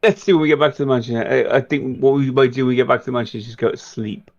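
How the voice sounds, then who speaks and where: A man's voice: medium pitch, very fast, gravelly, muffled recording. A man playing a tabletop roleplaying game, talking casually in and out of character.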